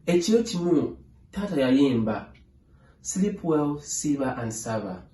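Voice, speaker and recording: A man narrating a children's story aloud in a measured, phrase-by-phrase read-along style. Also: a little high, sing-song, dark tone. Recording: echoey room.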